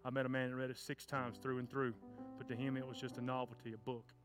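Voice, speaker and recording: A man's voice: medium pitch, level tone, fast talker. Fervent preacher man over guitar. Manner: fervent shouting preacher, stomps and cries. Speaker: children's pastor. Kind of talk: sermon and closing prayer. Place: church sanctuary. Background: soft guitar music.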